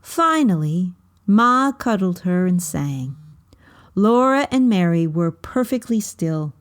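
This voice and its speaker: A woman reading a children's story aloud as scripted narration, in measured, deliberate phrases with frequent pauses. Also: fairly low pitch, very animated.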